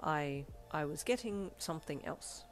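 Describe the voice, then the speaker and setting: A woman's voice: low voice, lively intonation, a little slow. A woman voicing a stiff, formal young man with fussy, proper diction in a storybook reading. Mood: soft. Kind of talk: audiobook character voice.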